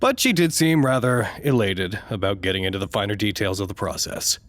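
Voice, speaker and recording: An actor playing a formal, precise male staffer who narrates a log in a measured, wry, dryly curious way, as in an audio drama. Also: lowish voice, expressive, fairly bright tone, no room sound. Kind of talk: scripted audio drama monologue.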